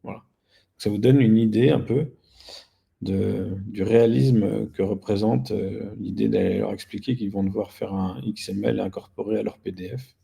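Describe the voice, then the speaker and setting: A man's voice: on the low side, steady tone, moderate pace, vocal fry, dark tone, breathy edge. A man speaking as an interview guest, explaining things casually with a wry, self-deprecating manner. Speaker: guest, company founder.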